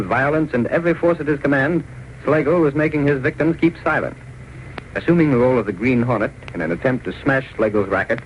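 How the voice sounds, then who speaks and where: American guy narrating old radio drama, fast, lowish voice, dull hissy recording.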